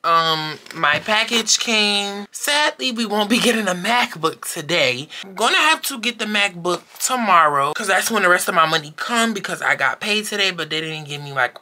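A young woman in her late teens vlogging casually to a handheld camera, excitable and full of slangy exclamations and squeals. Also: mid-range voice, moderate pace, hardly any pauses. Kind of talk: casual vlog chat to camera. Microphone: handheld camera close to face.

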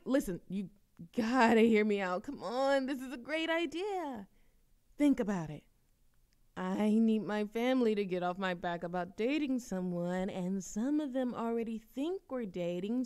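A woman's voice: on the high side, clear, resonant tone. A woman playing a playful, dramatic young woman chatting teasingly with a best friend in an audio roleplay. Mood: eager, persuading. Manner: animated complaining, teasing, theatrical exasperation. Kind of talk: intimate friend roleplay audio acting, conversational.

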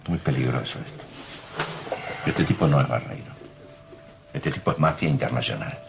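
A man's voice: extremely low pitch, moderate pace, gravelly, background noise. An actor playing a grave, wary senior surgeon in a telenovela, a man in his fifties with an Argentine accent. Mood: worried, grave. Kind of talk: telenovela acting. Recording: music playing under the dialogue.

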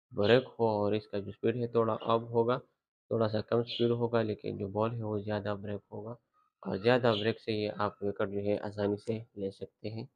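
A young man explaining cricket bowling grips in a relaxed, casual tutorial style, leaning heavily on filler phrases as he talks on a rooftop. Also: fairly low pitch, lo-fi sound. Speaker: cricket coach. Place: rooftop outdoors.

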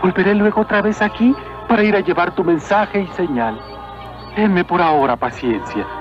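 An actor playing a humble, devout peasant in a cartoon, earnest and deferential. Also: male voice, sits high, lively intonation, normal speed, music in the background. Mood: reverent. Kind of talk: dubbed cartoon acting. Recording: muffled old cartoon soundtrack.

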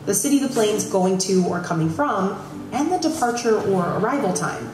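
A woman's voice: mid-range voice, animated, moderate pace. Female explainer narrator, varied intonation, heard distant and echoey as if replayed in a room. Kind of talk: scripted documentary narration.

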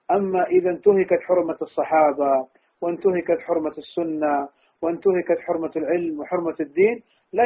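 Through thin, phone-like audio, a man teaches religion at a very rapid pace. His voice is fairly high and he speaks as a scholar giving an answer. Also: husky. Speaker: Islamic scholar. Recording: tinny phone-like, narrow-band audio.

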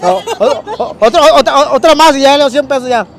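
A man's voice: very high voice, fairly quick, a faint crowd. A man doing a teasing street interview with a handheld mic on a city street. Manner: joking, teasing, laughs often.

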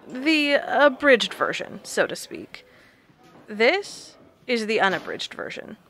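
Woman acting fed up researcher, firm bright voice, no nonsense, audio drama. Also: a little high, big pitch swings, takes it slowly, slight background noise, exaggerated voice. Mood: firm, defiant. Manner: blunt, firm. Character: blunt, stubborn woman researcher, fed up and resolute.

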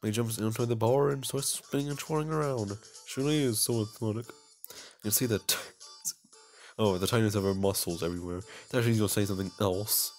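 A man's voice: on the low side, a little fast, darkish tone, soft background music. A man doing casual playthrough commentary and reading game text aloud in a goofy, self-mocking way. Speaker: let's player.

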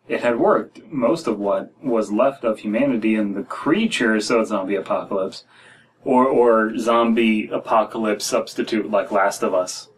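An American man in his thirties hosts a casual story-reading podcast, reading haltingly and breaking off for joking asides. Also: fairly low pitch, a little hiss or hum. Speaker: podcast host reading stories aloud. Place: small room at home, indoors. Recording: distant mic in a room.

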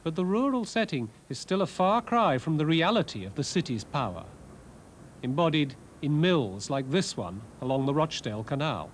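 A man giving scripted, scholarly documentary narration in a measured way, with wry asides. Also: fairly high pitch, big pitch swings, slight background noise. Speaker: presenter, art and architecture lecturer. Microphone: voice-over narration.

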